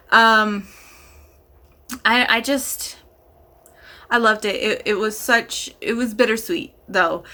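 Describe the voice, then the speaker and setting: A woman's voice: mid-range voice, bright, forceful tone. A woman chatting casually as a vlogger and reviewer, gushing with enthusiasm and leaning hard on emphatic words. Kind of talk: casual chat to camera.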